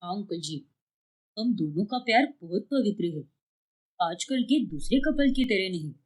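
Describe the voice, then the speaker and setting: A woman's voice: mid-range voice, lively intonation, moderate pace, a little mellow, pitched below the performer's own voice, close to an everyday voice. A woman voicing a meek, earnest young man in love, pleading in a cartoon story. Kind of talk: cartoon moral story dialogue.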